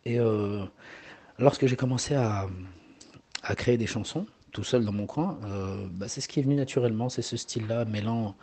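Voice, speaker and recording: A man's voice: medium pitch, normal speed, a little mellow. A man in his forties, a singer whose first language is Arabic, answering interview questions hesitantly with many fillers and repeated words. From Morocco.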